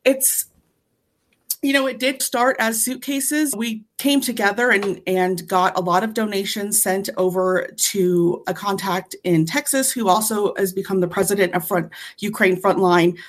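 A woman's voice: mid-range voice, steady tone, measured pace. A woman speaking as an interview guest, explaining volunteer work in an earnest, conversational way.

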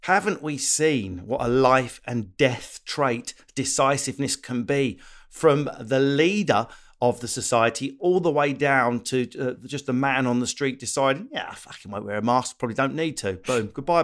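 A man's voice: mid-range voice, a bit bright. Sweary joking male podcast host. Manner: joking, sweary, does quick silly voices. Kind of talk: casual comedic podcast chat.

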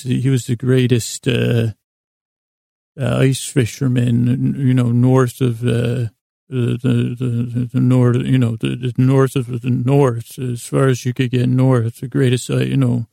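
A man with a very creaky, mellow voice rambles through an improvised bedtime monologue. He mumbles in a lulling, soothing way, halting and drifting mid-thought. The recording is very dry, with faint music underneath. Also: medium pitch, no room sound. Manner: halting, meandering, often trailing off mid-thought. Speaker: host of a bedtime story podcast.

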